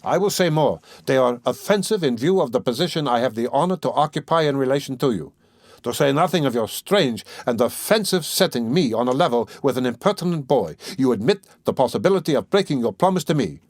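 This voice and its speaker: A man's voice: mid-range voice, expressive, a little fast, dry recording, pitched above the performer's own voice, lightly acted. A man reading aloud, playing a pompous, touchy suitor who speaks stiffly and formally. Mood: pompous huffy. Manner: huffy, sententious, stiffly formal. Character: pompous, touchy middle-aged male suitor.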